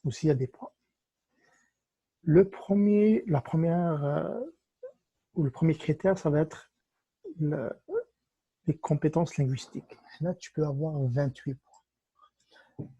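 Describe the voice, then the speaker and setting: A man's voice: fairly high pitch, takes it slowly, long pauses, dark tone, breathy edge, dry recording, lo-fi sound. A man, casually explaining a process step by step and giving advice straight to the viewer as host of a tutorial video. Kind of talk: casual explainer walking through a website.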